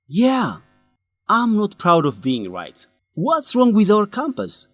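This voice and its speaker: An indignant young male student in a radio drama. His pitch is high and swings widely, and the recording is dry. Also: huge pitch swings, slow, a bit bright, no room sound, full character voice. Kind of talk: scripted radio drama acting.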